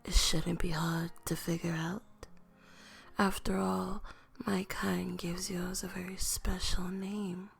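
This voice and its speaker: A woman performs a noble vampire lady for an ASMR roleplay in a very breathy whisper with a creaky edge. She is haughty and menacing, drawing out her formal, taunting words slowly and seductively. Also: on the low side, little pitch movement, full character voice. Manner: whispered, slow, taunting, formal old-world phrasing.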